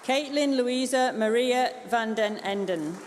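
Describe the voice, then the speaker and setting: A woman reads names aloud with formal, even pacing, her voice a touch high and carried through a large hall with a long echo. Heavy applause and crowd noise roll underneath her, loud and constant. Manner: steady, measured name-reading. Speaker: ceremony presenter reading graduate names.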